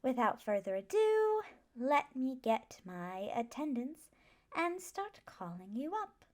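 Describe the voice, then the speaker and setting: A woman acts out a gentle, scholarly female professor of magic in an ASMR classroom roleplay. Her voice sits fairly high and she speaks very slowly. She is patient and reassuring, instructing her new students with measured care. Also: sing-song, full character voice. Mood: calm, instructive.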